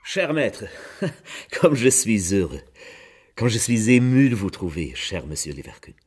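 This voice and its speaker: Effusive, husky, low-voiced cosmopolitan gentleman. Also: sing-song, measured pace, heavily acted. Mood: effusive, delighted. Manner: effusive, theatrically courteous, slipping between French and German. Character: fat, jovial, flattering cosmopolitan music agent of forty. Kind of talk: radio drama acting.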